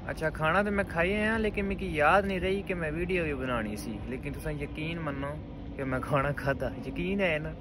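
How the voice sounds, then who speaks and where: A man's voice: a little high, fairly quick, hardly any pauses. A young man with a Pakistani Punjabi accent, chatting casually to his phone camera while a vehicle hum runs underneath. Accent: Pakistani Punjabi (Pothwari). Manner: relaxed, chatty, chuckles between remarks. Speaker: truck driver vlogger. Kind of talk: casual vlog chat to camera. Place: open ground outdoors, evening. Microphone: phone at arm's length. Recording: phone video outdoors with clear traffic noise.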